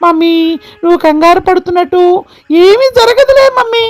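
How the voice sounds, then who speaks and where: A man's voice: extremely high pitch, animated, moderate pace, no room sound. A man voicing a cheeky, headstrong young female fawn in a storybook tale, whiny and sing-song. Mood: insistent.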